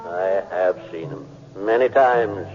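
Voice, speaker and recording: An actor playing the mournful ghost of a dead rancher, speaking solemnly and slowly with foreboding in an old radio western. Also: male voice, low voice, soft background music. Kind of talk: old-time radio western drama acting. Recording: dull vintage radio recording.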